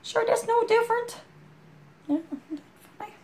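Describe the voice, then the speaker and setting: A hoarse woman with a chest cold, rambling in a folksy, heartfelt way as she chats to a friend. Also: very high voice, a little slow, a little mellow. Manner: rambling, folksy, full of "you know". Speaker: storytime vlogger. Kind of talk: casual heartfelt chat to a friend.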